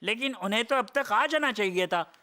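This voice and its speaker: A man's voice: high voice, animated, moderate pace, sharp tone, clear, resonant tone. A man voicing a friendly, patient fisherman and boat captain in a children's cartoon dub. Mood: practical. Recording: music behind the voice.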